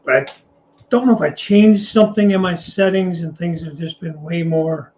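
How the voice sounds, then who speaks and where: Rough-voiced, mumbling man on a stream. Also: on the high side, moderate pace, a little mellow. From United States. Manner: casual, reads out viewer comments. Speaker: live stream co-host. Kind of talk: casual live chat. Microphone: home desk mic on a live stream. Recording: muffled stream audio with faint noise.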